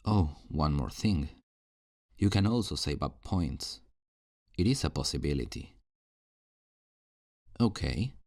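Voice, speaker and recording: A man playing a calm, kindly afterlife assistant in an ASMR roleplay, patiently explaining things in a low, gravelly voice. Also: slow, pauses often, no room sound, studio quality, exaggerated voice. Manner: patient, reassuring, explanatory customer-service talk. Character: calm, kindly male afterlife assistant selling souls new lives. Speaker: voice actor in audio roleplay. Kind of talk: ASMR roleplay, soft-paced scripted one-sided conversation.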